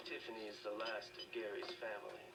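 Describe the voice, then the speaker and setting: Solemn, monotone actor as loyal colleague. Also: male voice, lowish voice, fast, close to an everyday voice. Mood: solemn concern. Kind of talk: video game voice acting. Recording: distant game capture, loud music.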